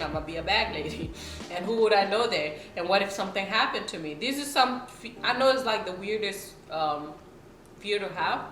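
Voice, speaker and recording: A young woman from South Sudan talks to her viewers in a loose, chatty vlog, tossing in little check-ins as she eats on camera. Her voice is bright and sits in a middle pitch. The room around her sounds roomy, with faint music underneath. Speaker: vlogger. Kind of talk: casual chat to camera while eating.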